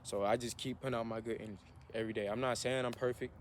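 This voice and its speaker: A man's voice: mid-range voice, fairly even intonation, very fast, a little hiss or hum. Earnest young man talking outdoors. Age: 20s. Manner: earnest, repetitive, self-motivating. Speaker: vlogger. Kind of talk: motivational vlog monologue.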